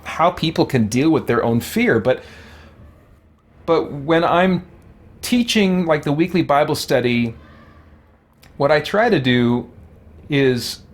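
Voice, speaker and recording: A man's voice: a little high, lively intonation, slow, long pauses. A man with a tired, worn voice, talking casually on a livestream in an earnest, reflective way and often correcting himself as he thinks aloud. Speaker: host, video game content creator. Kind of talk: casual livestream talk, answering viewer questions.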